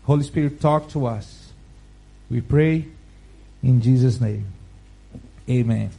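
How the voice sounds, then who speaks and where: A man with a Brazilian Portuguese accent, Portuguese his first language, reading scripture aloud and preaching with slow, careful, halting phrasing. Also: medium pitch, vocal fry, mellow, husky, low-quality recording. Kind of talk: Bible reading and sermon.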